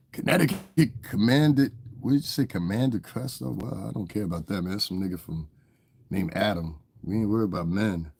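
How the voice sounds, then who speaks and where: A man's voice: low-pitched, darkish tone, husky. A man with a stuffy cold, giving rambling casual livestream commentary to his viewers, often checking they understand. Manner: rambling, talks straight to viewers, often asks "you understand". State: congested from a cold.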